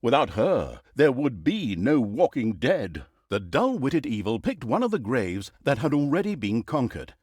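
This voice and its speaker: A man's voice: mid-range voice, very animated, measured pace, hardly any pauses, darkish tone, slightly rough, no room sound, clean studio sound. A man playing a sardonic, vain storyteller who narrates a comic fantasy game saga in a wry, mock-grand theatrical manner. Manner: wry, mock-grand, dramatic emphasis and asides.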